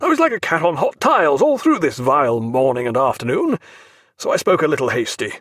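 A man voicing a tall, commanding naval captain who scolds loudly and gruffly, in a dry recording. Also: on the high side, big pitch swings, brisk, dry recording. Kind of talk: audiobook character voice.

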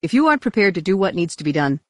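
A woman voicing a calm, authoritative male leader of space knights in an audiobook. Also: mid-range voice, a little fast, dry recording, clean studio sound, voice pushed up, close to an everyday voice. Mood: commanding. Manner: smooth, authoritative.

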